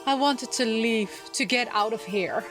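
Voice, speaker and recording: A woman with a fairly high voice plays through a visual novel. She chats casually, reacts aloud and reads the narration, with game music playing clearly underneath. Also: measured pace. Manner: reacts aloud with small interjections. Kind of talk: casual let's-play chat and reading game text aloud.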